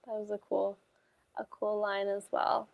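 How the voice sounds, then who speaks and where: A woman's voice: mid-range voice, completely flat delivery, unhurried, creaky, no room sound, phone-quality sound. A woman hosting a casual book discussion on a video call, talking in a hesitant, rambling way with lots of "like". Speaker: book club livestream host.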